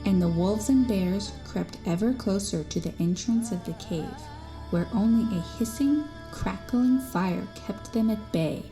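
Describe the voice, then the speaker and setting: A woman's voice: medium pitch, a little slow, dark tone, close to an everyday voice, rough recording. A woman playing a cheeky eight-year-old boy telling tall tales in an audio drama. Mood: eager, unruffled. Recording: music bed behind the voice.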